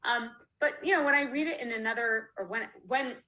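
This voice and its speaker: A woman's voice: a little high, a little fast, bright, forceful tone, poor audio. A woman author from Canada chatting casually in an interview over a video call, laughing often and restarting her sentences. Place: home, online video call.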